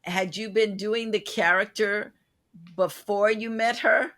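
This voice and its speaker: An interviewer with a bright, forward voice asks questions slowly and deliberately. The delivery is calm and measured. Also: medium pitch. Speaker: interview host.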